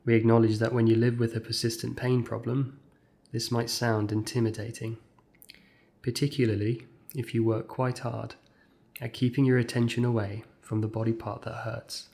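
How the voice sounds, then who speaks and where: A man guides a mindfulness exercise at a medium pitch. He gives scripted instructions in measured, short phrases. Gentle pauses separate each step of the meditation. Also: level tone, vocal fry.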